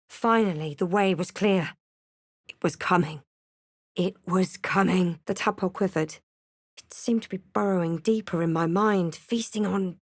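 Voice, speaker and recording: A woman playing a snarky, brave adventurer spellcaster in a fantasy video game, speaking in a theatrical, wry and deliberate way. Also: medium pitch, no room sound, studio quality. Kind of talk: video game voice lines, dramatic narration and spell chants.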